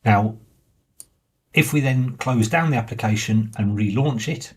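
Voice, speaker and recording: A man giving a plain, informal step-by-step walkthrough as the presenter of a software tutorial. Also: on the low side, measured pace, slightly rough. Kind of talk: step-by-step screen walkthrough narration.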